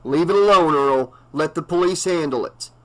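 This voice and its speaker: A man's voice: on the high side, moderate pace, fairly bright tone, close to an everyday voice, poor audio. A man voicing a weary small-town sheriff who speaks firmly. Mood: stern. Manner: firm, commanding.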